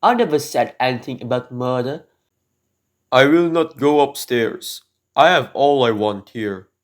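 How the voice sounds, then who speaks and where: A man's voice: medium pitch, animated, a little slow, close to an everyday voice. A man playing an uneasy burglar in an amateur radio drama, with an earnest storytelling manner. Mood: reluctant. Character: uneasy male burglar, earnest in telling his tale.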